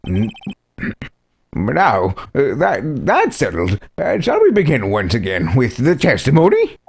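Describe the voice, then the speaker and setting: A man's voice: medium pitch, huge pitch swings, a little slow, vocal fry, breathy edge, heavily acted. A man voicing a hesitant, stern elderly courtroom judge for a video game, stammering in formal phrases. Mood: hesitant, pondering.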